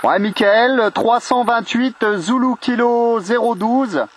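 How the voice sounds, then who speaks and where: A man's voice: extremely high pitch, takes it slowly, breathy edge. A man chatting casually as an amateur radio operator, trading call signs and friendly remarks.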